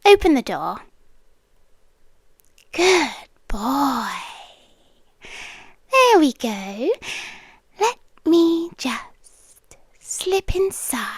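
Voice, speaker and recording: A woman's voice: sits high, very animated, extremely slow, long pauses, no echo, exaggerated voice. A British woman playing a possessive, doting yandere landlady girlfriend in an ASMR roleplay, cooing intimately and slipping into whispers close to the mic. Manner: cooing, breathy asides that drop into whispers. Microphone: close, dry microphone.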